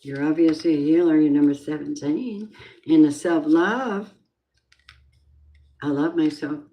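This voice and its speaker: Slow-talking low-voiced woman reader. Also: lo-fi sound. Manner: chatty, rambling, drifting off topic. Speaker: tarot reader. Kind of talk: casual live-stream reading.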